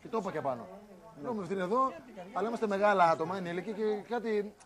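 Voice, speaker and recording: A young man, a reality show contestant, talking things over with housemates in a halting, rambling, teasing way. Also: high-pitched, expressive, a crowd in the background. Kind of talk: casual argument among housemates.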